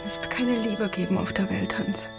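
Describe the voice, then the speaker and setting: An actress playing a self-assured, determined young typist, direct and warm-hearted, in a German radio drama. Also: female voice, medium pitch, fairly even intonation, gravelly, loud music behind the voice, natural voice. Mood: tender. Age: young adult. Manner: direct, brisk.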